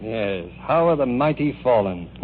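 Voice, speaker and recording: An actor playing a suave, teasing ex-husband in a 1940s radio comedy, with a dry, sardonic, unruffled manner. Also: male voice, medium pitch, big pitch swings, a little slow. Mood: wry, teasing. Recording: muffled, hissy old radio broadcast.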